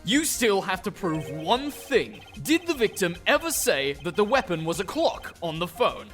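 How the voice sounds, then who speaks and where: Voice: medium pitch, very animated, moderate pace, a bit bright, faint music behind. A performer voicing an arrogant young male prosecutor who talks in a haughty, cutting way. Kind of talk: fan dub of a game.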